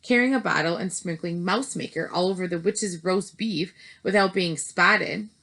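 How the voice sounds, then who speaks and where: A woman's voice: fairly low pitch, normal speed, a bit bright. A woman voicing a loving, commanding older grandmother in a storybook read-aloud, speaking emphatically. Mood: urging.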